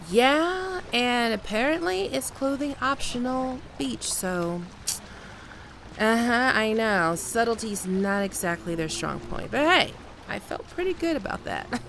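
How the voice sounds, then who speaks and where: A woman's voice: on the high side, expressive, creaky, fairly bright tone, slight background noise, full character voice. A woman playing a flirtatious, playful holidaymaker in an ASMR roleplay, talking in a coy, teasing, conversational way. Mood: amused, coy. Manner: coy, teasing, giggly, unhurried with pauses.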